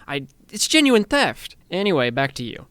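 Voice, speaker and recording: A man's voice: a little high, wildly swooping pitch, normal speed, a bit bright, no echo. A man playing a smug, teasing, intimidating office boss who talks in a chatty, self-satisfied way in an ASMR roleplay.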